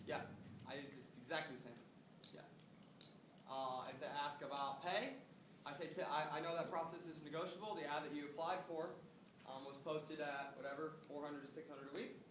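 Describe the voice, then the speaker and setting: A man's voice: on the high side, fairly even intonation, normal speed. A man working as a recruiting trainer, talking through a casual sales phone script in an upbeat way and often checking in with short tag questions. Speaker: recruiting trainer making phone screening calls. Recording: roomy, distant mic, noisy background.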